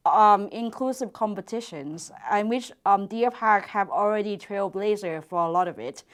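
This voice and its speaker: A woman in her twenties from Thailand, answering interview questions about her sport in an earnest, reflective way with hesitant pauses. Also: medium pitch. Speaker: archer and university PhD student.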